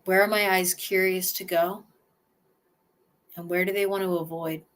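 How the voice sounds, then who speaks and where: A woman with a lowish voice leads a relaxation exercise. She talks slowly and softly, narrating her own breathing and movement as she goes, with sighs between phrases. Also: steady tone. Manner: slow, soft, first-person self-guiding with sighs. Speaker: somatic exercise guide.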